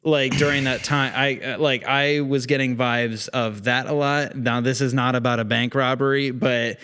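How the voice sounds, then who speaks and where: Male podcast guest, smooth clear voice, rambling, informal conversational phrasing. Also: mid-range voice, fairly even intonation, moderate pace, a bit bright. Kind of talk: casual chat.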